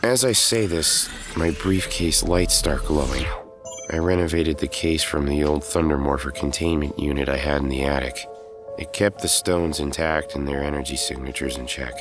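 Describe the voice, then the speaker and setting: A man's voice: extremely low pitch, steady tone, moderate pace. A man, middle-aged, acting as a world-weary former hero turned professor who narrates his own story in an audio drama. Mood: measured narration. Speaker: narrator and lead actor. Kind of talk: scripted first-person audio drama narration. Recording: music under the voice.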